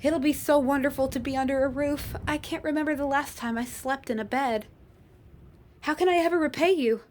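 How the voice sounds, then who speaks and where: A woman's voice: sits high, fairly quick, slight background noise, lightly acted. Inga, a woman playing a shy young flower girl. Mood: gentle, shy. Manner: soft-spoken, polite, old-fashioned phrasing. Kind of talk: fantasy audio roleplay.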